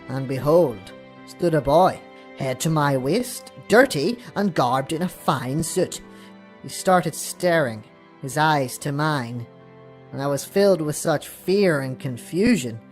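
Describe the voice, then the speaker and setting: A woman performs a grand, solemn scribe of old, reciting a chronicle in archaic phrasing for an audio story. The voice sits fairly low and moves with lively swings, over faint music. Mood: hushed, fearful. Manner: solemn, theatrical, archaic diction. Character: solemn, self-important ancient scribe telling a chronicle.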